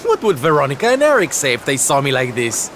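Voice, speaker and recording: A man's voice: mid-range voice, huge pitch swings, fairly quick, a bit bright, a little hiss or hum. A man playing a flamboyant, tender-hearted seafaring hero in a video game, with a camp, theatrical manner. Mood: rueful, rallying. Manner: theatrical, camp, fond endearments. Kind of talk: video game cutscene acting.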